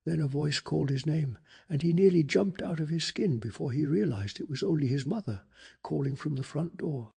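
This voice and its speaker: A man softly narrating a bedtime story in a calm, hushed ASMR style, telling it with deliberate drama. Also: fairly high pitch. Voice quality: soft, breathy.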